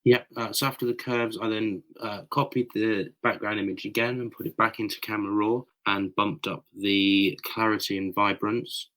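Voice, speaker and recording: A British man with a slightly croaky voice, explaining his photo edits step by step in a casual podcast chat over a voice call. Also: fairly low pitch, flat delivery, moderate pace, hardly any pauses, fairly bright tone, low-quality recording. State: a bit croaky.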